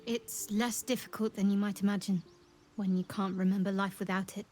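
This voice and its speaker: An actress playing a guarded, devout young priestess of a dark goddess in a video game, measured and secretive, solemn with a dry edge. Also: female voice, mid-range voice, fairly even intonation, soft background music, lightly acted. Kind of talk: video game character dialogue.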